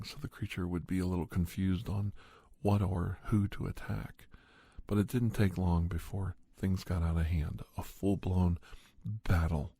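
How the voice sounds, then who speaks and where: Deep, gravelly man telling an ASMR story. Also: mellow, dry recording. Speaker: podcast host and storyteller. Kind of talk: slow ASMR first-person horror story narration.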